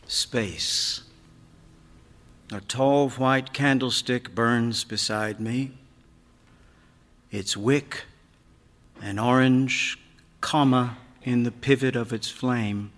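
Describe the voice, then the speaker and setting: A man reading a literary story aloud on stage, in a measured, dramatic way that moves between hush and sudden swells. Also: medium pitch, fairly even intonation. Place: large theatre stage. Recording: reverberant hall, live stage reading.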